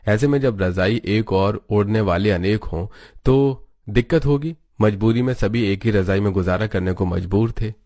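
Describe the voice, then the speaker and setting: A man reading scripted narration for an animated family story. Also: lowish voice, moderate pace, no echo.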